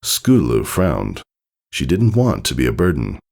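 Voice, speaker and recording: A man's voice: rumbling low, wildly swooping pitch, moderate pace, vocal fry, darkish tone. A man reading scripted storybook narration as the narrator of a piece of fiction.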